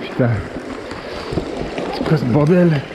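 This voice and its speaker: A man filming from his kayak outdoors on a river, talking in a casual, excited way and swearing now and then, picked up by an action camera on the boat. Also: a little high, slow pace. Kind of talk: casual outdoor vlog chat. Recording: loud river and wind noise.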